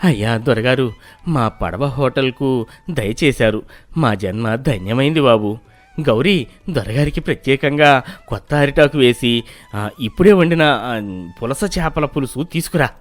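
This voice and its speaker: A man's voice: mid-range voice, a little slow, pauses often, no room sound, close to an everyday voice. A man voicing a humble, earnest boatman. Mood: deferential. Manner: deferential, earnest. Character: humble, brave middle-aged boatman. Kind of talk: animated storybook dub.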